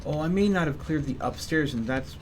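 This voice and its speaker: A man's voice: on the high side, fairly quick, very noisy. A man giving casual live commentary as he streams a game, musing to himself as he goes.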